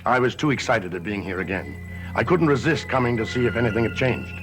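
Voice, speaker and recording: A man acts out an aged, secretive grandfather from a gothic television soap. His mid-pitched voice is theatrical and portentous, each phrase measured, with clear dramatic music playing behind him. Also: expressive, close to an everyday voice, poor audio. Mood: calm, evasive. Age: older. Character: cryptic, haunted old grandfather with secrets.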